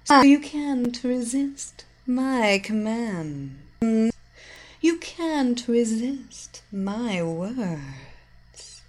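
A woman voicing Evelina, slow hypnotic villainess. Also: a little high, very animated, heavily acted. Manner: slow, soft, lingering hypnotic cadence. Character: commanding female hypnotist villain, sultry and controlling. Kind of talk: hypnosis audio story acting.

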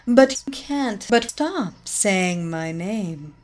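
A woman voicing a commanding female hypnotist villain who speaks in a slow, soft, lingering hypnotic cadence. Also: medium pitch, lively intonation. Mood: coaxing. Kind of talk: hypnosis audio story acting.

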